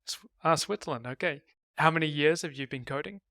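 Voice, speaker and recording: A man hosting an interview, firing off brisk, upbeat rapid-fire questions. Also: on the high side, sharp tone, dry recording, studio quality. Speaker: interview host.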